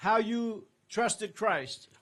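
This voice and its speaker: An older man from England, preaching in short phrases that pause for an interpreter. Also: sits high, lively intonation, fairly bright tone. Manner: speaks in short phrases, pausing for translation. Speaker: guest preacher. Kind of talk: sermon in English with interpreter.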